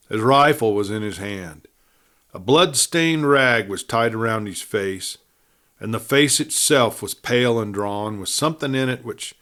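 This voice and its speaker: A man narrating a scripted western story in a measured, dramatic manner. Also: on the low side, a bit bright. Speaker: audiobook narrator.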